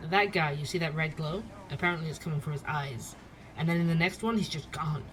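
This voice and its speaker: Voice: medium pitch, a little fast, a bit bright, slight background noise, lightly acted. An actor playing a young, curious, skeptical night-shift barista who narrates an investigation in a musing, conversational way for an audio drama.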